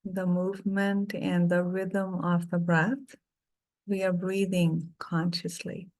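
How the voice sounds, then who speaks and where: A middle-aged woman leading a guided meditation, speaking softly and soothingly with long pauses between phrases. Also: on the low side, flat delivery, low-quality recording.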